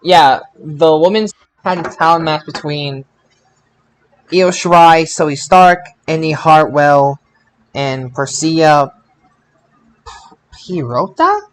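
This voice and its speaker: A man hosting a casual wrestling review podcast, speaking haltingly with stammered restarts. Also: on the high side, clear, resonant tone, poor audio. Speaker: podcast host.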